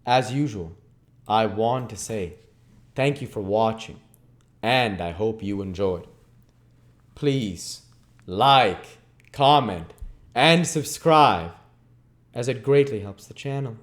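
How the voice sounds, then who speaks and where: A man reading a story aloud as channel host, in expressive narration with deliberate, measured phrasing. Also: fairly low pitch. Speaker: audiobook reader and channel host.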